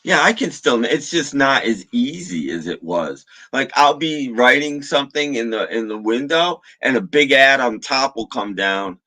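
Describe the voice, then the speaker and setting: A man with a rough, slightly hoarse voice rambling casually on a livestream in a halting, low-key, mildly grumbling way. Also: expressive, bright, forceful tone, rough recording. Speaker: live streamer.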